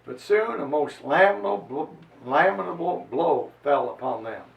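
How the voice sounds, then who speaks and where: A man giving an emphatic, declamatory dramatized reading of a historical chronicle, stretching out phrases deliberately. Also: on the high side, animated, gravelly. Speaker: reader and lecturer on history. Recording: roomy echo, voice distant from microphone.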